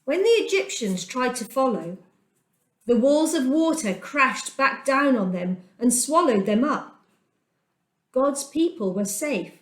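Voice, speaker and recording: A middle-aged woman telling a children's Bible story aloud, gentle and expressive, with dramatic pauses. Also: high voice. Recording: echoey room.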